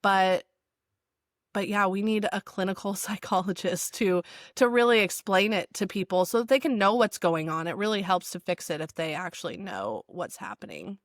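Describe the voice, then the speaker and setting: Upbeat female co-host, mid-pitched, dry close mic. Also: normal speed, no room sound. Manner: enthusiastic, upbeat. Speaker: podcast co-host. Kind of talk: podcast chat and guest introduction.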